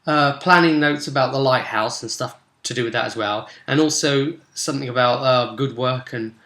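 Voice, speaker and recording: A man speaking casually as a game master, recapping a tabletop role-play session with animated, dramatic emphasis. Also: mid-range voice, moderate pace. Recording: roomy, echoey sound.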